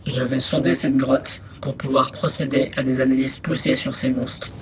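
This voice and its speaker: Male actor as small space robot, monotone, measured, methodical, sci-fi audio fiction, noisy background. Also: mid-range voice, close to an everyday voice. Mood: focused. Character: small exploration robot, methodical, dutiful scout reporting findings.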